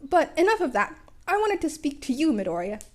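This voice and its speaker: A woman's voice: high-pitched, very animated, fairly quick, pitched above the performer's own voice. A woman voicing a grand male superhero in a story, declaiming and mock-stern as he scolds. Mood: insistent.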